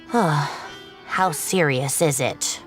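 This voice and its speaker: Older female professor, unhurried, kindly, chatty, faint music behind, video game dialogue. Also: low-pitched. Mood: puzzled concern. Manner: unhurried, old-fashioned turns of phrase.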